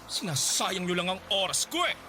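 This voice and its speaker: Pushy, flamboyant client for a radio drama, slightly high, noisy studio. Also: male voice, very animated. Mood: angry, threatening. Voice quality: teasing, theatrical, drawn-out hums.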